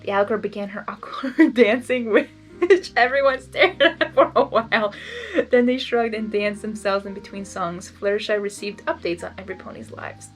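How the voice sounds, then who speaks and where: A woman's voice: mid-range voice, normal speed, soft background music. A woman doing a blind reading of a fan story, stumbling on words and adding gushing asides. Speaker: reader.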